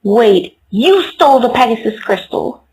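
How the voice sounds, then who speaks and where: A woman's voice: a little high, very animated, a little slow, a little mellow, slightly rough, heavily acted, low-quality recording. A woman voicing an earnest, hopeful young mare who leads her friends, in a fan comic dub. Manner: earnest, rallying.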